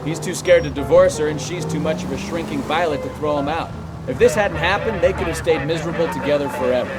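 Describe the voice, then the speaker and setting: An actor playing a cynical, wry police detective in conversational video game dialogue. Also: male voice, a little high, fast, close to an everyday voice, low-quality recording.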